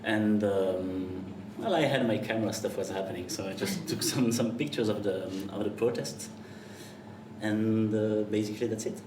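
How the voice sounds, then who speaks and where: A young man from Belgium, a guest photographer, chatting in a relaxed, laid-back way and laughing often. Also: fairly low pitch, normal speed. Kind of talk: casual chat. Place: café. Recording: far-off mic in an echoey café with noise.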